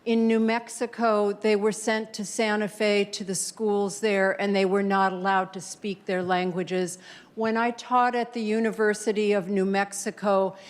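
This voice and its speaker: A woman from Denmark, a native Danish speaker, putting an earnest, measured question to a speaker from the audience. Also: medium pitch, flat delivery. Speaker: audience member asking a question. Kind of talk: question from the floor.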